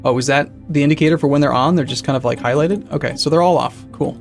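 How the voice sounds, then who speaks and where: A man's voice: fairly high pitch, fast, creaky. Rambling man streaming over faint music. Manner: rambling, self-correcting, reads and answers chat. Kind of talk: casual live-stream chatter while setting up a game.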